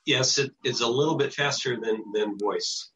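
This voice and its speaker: An older American man giving an informal presentation and answering questions in a halting, hesitant way over a video call. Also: mid-range voice, fairly bright tone, slight background noise. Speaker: presenter, radio show producer. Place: small home office with bookshelves, indoors. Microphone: computer mic on a video call. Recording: dull lo-fi video call audio.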